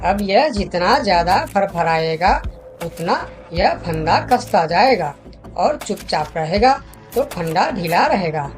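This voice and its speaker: Unhurried clever monkey, played by a woman. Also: fairly low pitch, big pitch swings, faint music behind. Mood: assured explaining. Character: clever, confident young male monkey, helpful problem solver. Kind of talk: Hindi animated fable dialogue.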